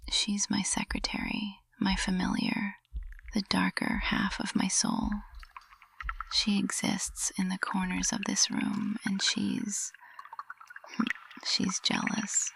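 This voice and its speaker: A woman performs a flustered witch professor whose cool composure is melting into love. Her whisper is very breathy and husky, with a heavy crackle of fry at the edges. She speaks slowly and haltingly, in the tender, intimate manner of an ASMR roleplay. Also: medium pitch, a bit bright, heavily acted. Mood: nervous, confiding.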